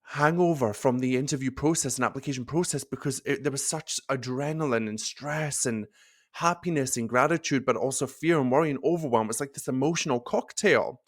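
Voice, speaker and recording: A man hosting a casual motivational talk as a nurse coach, expressive and animated, filling his speech with "like". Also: mid-range voice, brisk. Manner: expressive, animated, swearing, lots of "like" fillers. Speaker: nurse coach and podcast host.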